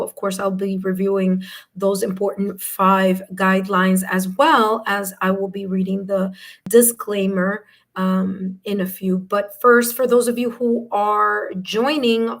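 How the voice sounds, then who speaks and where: Woman, mid pitch, slightly hoarse voice, casual live-stream host who keeps checking in with viewers. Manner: chatty, repeats herself, tags sentences with "okay?". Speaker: tarot reader hosting a live stream.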